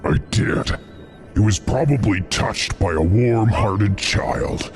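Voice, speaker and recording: A man's voice: fairly low pitch, lively intonation, slow, faint music behind. A man playing a bossy, sinister wolf chief in a dramatized fantasy story, speaking in a grave, commanding way. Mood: grave, brooding.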